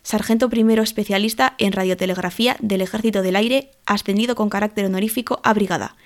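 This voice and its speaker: Female reader, formal memorial read-out, steady and even. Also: medium pitch, fast. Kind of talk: scripted reading.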